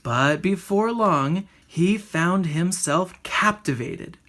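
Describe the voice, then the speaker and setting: A woman reading a children's storybook aloud in an expressive narrating style. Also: fairly low pitch, slow pace. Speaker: storybook reader.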